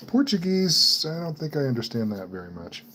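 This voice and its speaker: A man's voice: fairly high pitch, very animated, normal speed, pushed and bright, a little hiss or hum. A man hosting a live stream, chatting casually and laughing often while he works on computer parts. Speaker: livestream host building computers. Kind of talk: casual chat while working.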